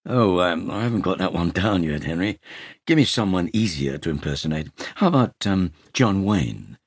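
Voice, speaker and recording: A man's voice: low-pitched, lively intonation, moderate pace, husky, no room sound, clean studio sound, full character voice. A man voicing a manic, depressive male comedian in an audiobook, with theatrical, tremulous flourishes. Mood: rambling. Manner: thespian, flamboyant, tremulous flourishes. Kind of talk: audiobook character acting.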